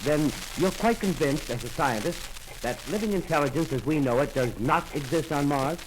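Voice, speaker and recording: Man doing a polite brisk radio reporter, old-time 1930s radio play. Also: on the high side, noisy background, close to an everyday voice. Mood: earnest. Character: earnest male radio field reporter. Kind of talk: 1930s radio drama acting.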